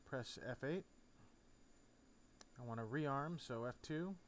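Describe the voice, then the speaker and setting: A man's voice: mid-range voice, takes it slowly. A man presenting an informal step-by-step game tutorial in a casual, instructional way. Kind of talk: casual step-by-step tutorial narration over a flight simulator. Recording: clear background noise. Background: steady noise, game sound off.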